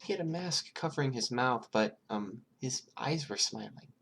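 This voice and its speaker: A frightened little girl in an audiobook story, acted out by an adult narrator. The voice is lifted high and comes out timid and halting, hesitating between phrases. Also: lively intonation, gravelly, a bit bright, husky, full character voice. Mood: timid, hesitant.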